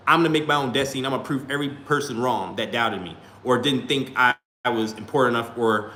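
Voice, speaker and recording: Male streamer, mid-pitched, rambling casual delivery, echoey room with faint hum. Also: bright, forceful tone. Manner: rambling, repeats "you feel me". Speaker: small YouTuber live-streaming. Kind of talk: casual livestream talk to chat. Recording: roomy echo, faint background hum.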